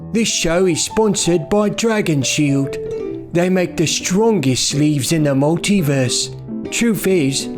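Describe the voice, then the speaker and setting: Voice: mid-range voice, close to an everyday voice. A performer voicing a folksy creature mascot reading a sponsor message in character. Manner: folksy, says "me" for "my". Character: gruff dragon-shielded creature, sponsor mascot. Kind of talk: scripted ad read in character. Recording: music bed under voice.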